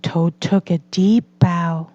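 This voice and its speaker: A woman reading a children's story aloud as scripted narration, in a measured, deliberate manner. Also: on the low side, steady tone, mellow, no room sound, highs cut off. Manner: measured, deliberate delivery for learners. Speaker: storybook reader.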